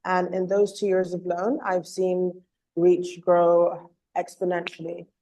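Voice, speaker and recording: A woman giving an earnest online presentation as a communications officer, slightly hoarse from a cold, with frequent ums and uhs. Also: fairly low pitch, monotone, takes it slowly, mellow. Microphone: video call.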